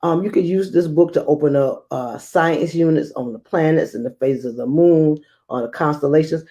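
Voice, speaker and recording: A woman hosting a children's read-aloud as a teacher, speaking in a measured, expressive storytelling manner. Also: low-pitched, highs cut off.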